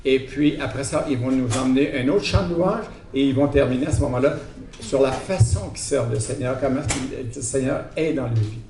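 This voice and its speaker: A man's voice: mid-range voice, a little fast, creaky, noisy background. Man hosting, echoey and distant. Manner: informal, stumbling over the name. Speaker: event host introducing the speaker. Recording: echoey room, distant mic.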